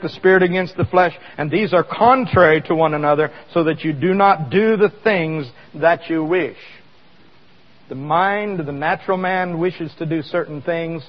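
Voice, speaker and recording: A fairly high-voiced man preaching in a church hall, talking to the congregation like a conversation and tossing questions at them. His voice rings with a long hall echo, and the recording sounds slightly dull and muffled. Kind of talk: sermon with live demonstration.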